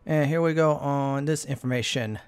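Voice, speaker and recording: A man's voice: medium pitch, moderate pace. A man hosting a gaming channel, giving casual walkthrough commentary and explaining things in short, plain phrases.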